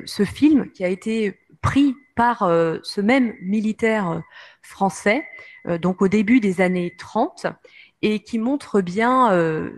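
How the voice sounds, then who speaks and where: A woman with a French accent giving a history lecture from slides, hesitating often with fillers. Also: medium pitch. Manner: many hesitations, frequent "euh".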